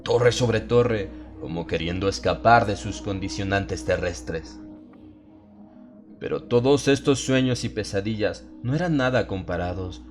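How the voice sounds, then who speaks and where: A man narrating a dramatized horror audiobook in an ominous, theatrical, measured way, drawing out his phrases. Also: on the low side. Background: atmospheric music bed.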